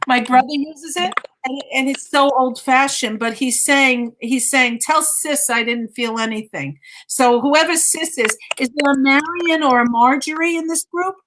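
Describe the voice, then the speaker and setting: A woman's voice: high voice, measured pace, noisy background. A woman giving a conversational live mediumship reading, insistently repeating phrases and relaying messages as quotes.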